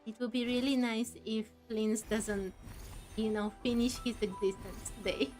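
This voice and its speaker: Woman, high voice, casual game commentary, questioning manner, game music behind. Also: steady tone, unhurried, darkish tone. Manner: wondering aloud, questioning. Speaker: game streamer.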